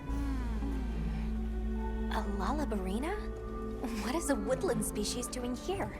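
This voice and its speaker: A dutiful young field handler in a game cutscene. She has a high voice and a brisk, precise, professional delivery, alert and earnest, over background music. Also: animated. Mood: inquisitive. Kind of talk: video game cutscene acting.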